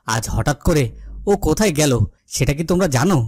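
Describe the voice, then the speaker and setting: A man playing a worried, highish-voiced fairytale king. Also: lively intonation, fairly quick, darkish tone, no echo. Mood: questioning. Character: worried, protective fairytale king, stately and questioning. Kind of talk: dubbed cartoon fairytale acting.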